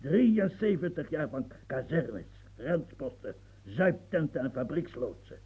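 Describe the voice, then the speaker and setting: An actor in a radio play voicing a husky, fiery old marshal in his seventies, who rants and stammers when flustered. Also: fairly high pitch, big pitch swings, a little slow, mellow, heavily acted. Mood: impassioned. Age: 70s. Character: old marshal of seventy-three, fiery, long-winded reformer.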